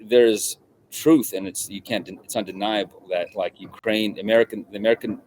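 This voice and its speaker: A middle-aged man from Russia, a guest in an interview, talking in a halting way with many restarts and repeated words. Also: fairly low pitch, fairly even intonation, darkish tone, a little hiss or hum, rough recording. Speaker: guest journalist. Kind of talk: conversational interview.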